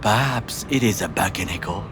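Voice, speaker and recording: A man playing a proud, principled ex-soldier bodyguard in a video game, speaking formally and deliberately with a Japanese accent. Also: lowish voice, background noise, lightly acted. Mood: grave, reflective. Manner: formal, measured, deliberate phrasing without slang. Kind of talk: video game cutscene acting. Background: music under the voice.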